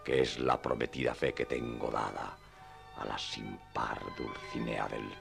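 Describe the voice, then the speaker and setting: An actor playing a solemn, lovestruck old knight in a radio play, declaiming ceremoniously in a creaky, breathy murmur. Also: male voice, extremely low pitch, level tone, unhurried, over music, heavily acted. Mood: amorous, solemn. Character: solemn, courtly, deluded old knight in love. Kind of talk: radio theatre acting in archaic language.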